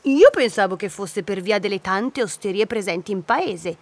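A woman's voice: medium pitch, animated, moderate pace, no room sound. A woman acting in a radio drama as an outspoken, sardonic hiker who likes to mock and contradict. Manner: mocking, interjecting.

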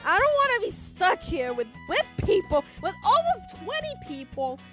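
Young female storyteller doing an irritable, high-pitched whiny schoolgirl. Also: very animated, moderate pace, a bit bright, soft background music, heavily acted. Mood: panicky, whiny. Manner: whiny, exasperated. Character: irritable, panicky young schoolgirl, sarcastic and dramatic.

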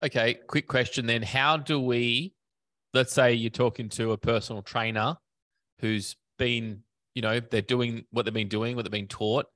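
A man's voice: medium pitch, fairly even intonation, normal speed, sharp tone, no room sound. Guy hosting a fitness podcast, chatty, lots of ums, dry close sound. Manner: rambling, many fillers and restarts. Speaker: podcast host. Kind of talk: casual interview.

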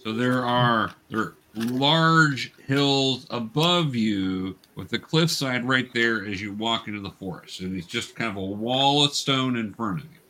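Bright-voiced man running a tabletop game. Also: mid-range voice. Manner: halting, explanatory. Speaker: game master. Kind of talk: narrating a tabletop game to young players. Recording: clear background noise, clicks.

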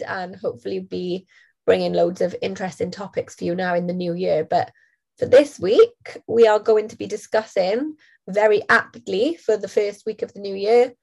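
Nasal, hoarse woman with a cold. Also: medium pitch, moderate pace, a little mellow. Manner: chatty, laughs often. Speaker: podcast co-host. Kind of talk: casual podcast chat.

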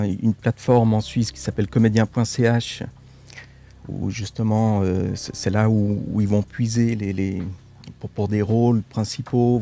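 A man speaks as a radio guest, slightly low and warm in tone. He hesitates, restarts and repeats words, letting phrases trail off softly. Quiet music sits faintly underneath. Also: creaky. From Switzerland. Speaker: actor, studio guest. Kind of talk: radio interview.

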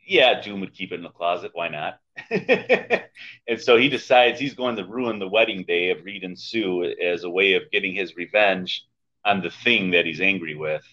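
A man's voice: sits low, animated, moderate pace, sharp tone. A man co-hosting a podcast, talking in a casual, wry, conversational review style over a dull lo-fi recording. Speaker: podcast co-host. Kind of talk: casual comic book review chat. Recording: dull, lo-fi microphone sound.

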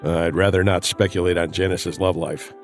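An actor playing a sardonic, gossipy male corporate colleague with a dry, brisk manner in a campy audio drama. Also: low-pitched, flat delivery, soft background music. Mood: sardonic, sneaky.

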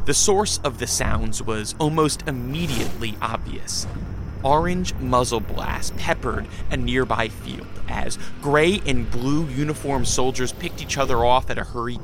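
A male storyteller, voiced by an actor narrates a radio drama. His scripted delivery is solemn and mid-pitched, with music playing clearly behind him. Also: lightly acted.

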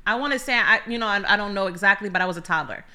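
A woman, raised in the Bronx, telling her life story in a lively way as an interview guest. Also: mid-range voice, very fast. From Hawaii and the Bronx. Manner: lively storyteller, acts out quotes. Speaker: guest, church member.

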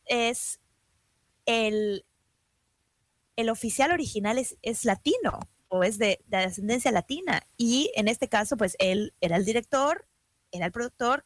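A woman's voice: fairly high pitch, no room sound. Mexican woman, reflective and close-sounding. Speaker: talk show co-host. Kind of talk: casual discussion in a podcast.

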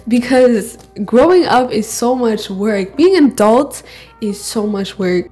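A woman's voice: on the high side, expressive, unhurried, dark tone, soft background music. A teenage girl co-hosting a podcast, talking candidly and rambling, often laughing at herself. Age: teens. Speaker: podcast co-host. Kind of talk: casual solo chat.

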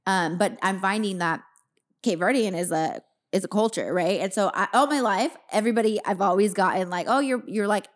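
A woman's voice: medium pitch, fairly quick. A woman, chatting casually as a podcast host in a slangy, rambling, candid way with some swearing. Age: 30s. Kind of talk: casual, profane podcast chat.

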